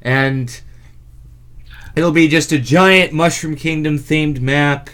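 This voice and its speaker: A man's voice: on the high side, steady tone, slow, a bit bright, clear, resonant tone. A man hosting a gaming podcast in casual chat, with a wry, stop-and-start delivery. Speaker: podcast host.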